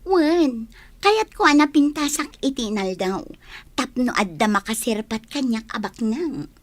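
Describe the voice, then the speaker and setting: An actress playing a vain, sharp-tongued young village woman in a comedy radio play. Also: on the high side, lively intonation, a little slow. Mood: dreamy.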